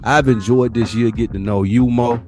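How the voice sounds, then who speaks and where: A man's voice: medium pitch, fairly even intonation, moderate pace, a little mellow, faint music behind. A man co-hosting a casual podcast, talking in a laid-back, teasing way and laughing often. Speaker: podcast co-host.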